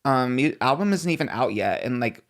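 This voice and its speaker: Male podcast co-host, medium pitch, gossipy and slangy, casually running through celebrity news. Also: expressive, fairly quick, a bit bright.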